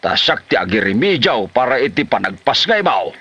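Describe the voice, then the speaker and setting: A man's voice: mid-range voice, big pitch swings, moderate pace, pushed and bright, breathy edge, no room sound, lo-fi sound, full character voice. A man with a Filipino Ilocano accent playing an exasperated older father who scolds his lazy son in a comedy drama. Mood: grumbling.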